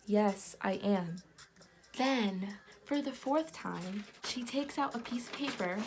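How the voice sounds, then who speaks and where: Animated young woman telling a story. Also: a little high, unhurried, noisy background. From United States. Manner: animated, expressive storytelling with many fillers. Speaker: vlogger. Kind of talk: storytime vlog.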